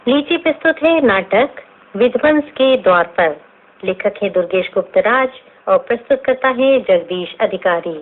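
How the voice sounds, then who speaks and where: A woman's voice: mid-range voice, lively intonation, normal speed. A woman with an Indian accent giving a scripted radio programme announcement as a station announcer. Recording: thin, phone-like radio broadcast sound.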